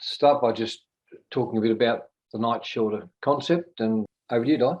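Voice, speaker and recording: Australian male host, mid-pitch, hesitant, over a dull online line. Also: normal speed, darkish tone. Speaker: workshop host. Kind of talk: online meeting introduction. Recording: dull lo-fi video call audio.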